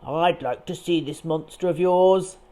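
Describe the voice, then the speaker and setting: A woman voicing a steady, curious, no-nonsense badger in a children's storybook, composed and inquisitive. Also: on the low side, measured pace, close to an everyday voice.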